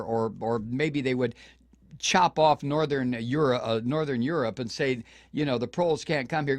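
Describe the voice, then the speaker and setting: A man's voice: a little high, dry recording, clean studio sound. An older man hosting a political talk show, delivering indignant commentary with frequent restarts and stumbles. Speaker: radio talk show host.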